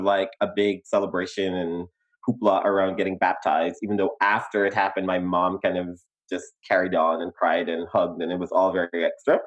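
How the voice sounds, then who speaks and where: Guyanese man telling his story, low voice. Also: highs cut off. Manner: animated, laughs easily, emphatic pitch jumps. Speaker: podcast co-host. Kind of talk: casual conversational storytelling.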